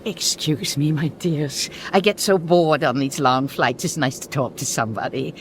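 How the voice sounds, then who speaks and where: An actress playing a sociable, genteel elderly American woman with an American accent, chatting in a drawn-out way in a radio comedy. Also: low voice, slight background noise, lightly acted. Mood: friendly, bored. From Boston, United States. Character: chatty, bored, elderly American lady air passenger. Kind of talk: radio comedy drama acting.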